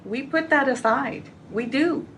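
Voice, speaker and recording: A woman's voice: high-pitched, unhurried, a bit bright. A woman talking frankly and colloquially in a casual conversation. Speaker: former Crown prosecutor. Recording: distant mic, noisy ambience.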